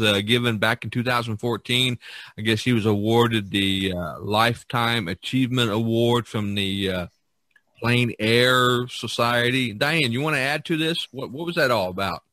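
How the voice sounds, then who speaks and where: A man hosting a podcast in casual talk, with a halting, hesitant delivery. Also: mid-range voice, sharp tone, no echo. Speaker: podcast host.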